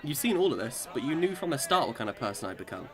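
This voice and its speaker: A man's voice: medium pitch, very animated, quick pace, crowd noise, lightly acted. A man acting in a radio drama as a boastful immortal Englishman who swings between cheer and despair, with theatrical old-time speech. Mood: earnest.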